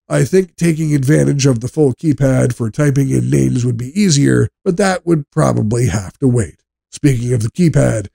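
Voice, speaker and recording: A man reading scripted product review narration in a measured, explanatory way. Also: medium pitch, gravelly, mellow, no room sound.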